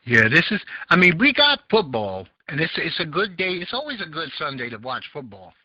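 Man, Southern American accent, podcast host, rambling chat, a bit high voice, dry and dull lo-fi sound. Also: very animated, a little fast, slightly rough, no room sound. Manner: rambling, searching for words with many fillers. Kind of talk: casual call-in talk show chat. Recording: dull lo-fi audio.

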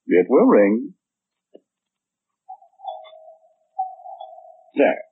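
An actor with an American accent playing a fussy, smug middle-aged know-it-all in a vintage radio drama, speaking with pedantic precision. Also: male voice, medium pitch, wildly swooping pitch, measured pace, creaky, slightly rough, dry recording, exaggerated voice. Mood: testy. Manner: pedantic, precise, matter-of-fact. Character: fussy, smug middle-aged man who knows everything. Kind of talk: 1940s radio acting, chatty first-person monologue. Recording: muffled, phone-like vintage 1940s radio broadcast.

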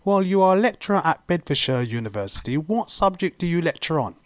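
Woman interviewer, short friendly prompts, animated intonation. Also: on the low side, quick pace. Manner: brief, friendly prompting questions. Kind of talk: interview questions.

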